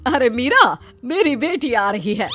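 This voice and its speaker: Woman doing an imperious, high-voiced mother-in-law. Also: very animated, unhurried, pushed and bright, soft background music, exaggerated voice. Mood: demanding. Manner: imperious, scolding, emphatic. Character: demanding, haughty middle-aged mother-in-law on exchange.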